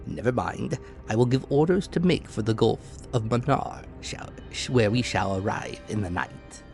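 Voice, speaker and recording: A man voicing a commanding, unruffled Victorian submarine captain in an audiobook, with measured, courteous speech that treats danger lightly. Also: fairly low pitch, expressive, gravelly, darkish tone. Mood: courteous, nonchalant. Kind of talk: dramatic audiobook character voice. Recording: echoey room, music behind.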